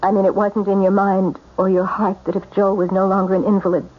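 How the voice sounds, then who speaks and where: An actress playing a dutiful wife torn by forbidden love, earnest and strained, in 1970s radio drama style. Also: female voice, medium pitch, flat delivery, fairly quick, a little hiss or hum, close to an everyday voice. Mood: anguished, resolute. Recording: dull, band-limited radio drama recording.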